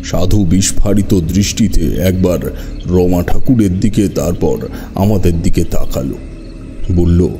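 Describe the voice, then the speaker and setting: Very deep, dark-toned male horror narrator. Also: measured pace, faint music behind. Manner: dramatic, literary storytelling. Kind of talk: scripted first-person horror story narration.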